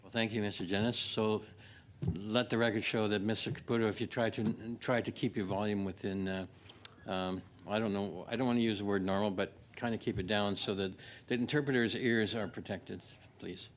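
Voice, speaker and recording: A man chairing a formal meeting, politely admonishing in a halting, hesitant way. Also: on the low side, fairly even intonation. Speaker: committee chair. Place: committee meeting room. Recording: clear background noise.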